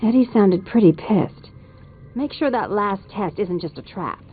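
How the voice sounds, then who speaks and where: A taunting lady thief in a video game, raspy and wary, bit dull sounding. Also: mid-range voice, expressive, a little slow, soft background music.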